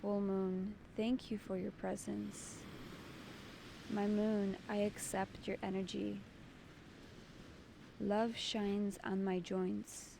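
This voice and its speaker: A woman leading a guided meditation as its host, giving soothing, deliberate instructions in short phrases. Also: medium pitch, level tone, vocal fry, background noise.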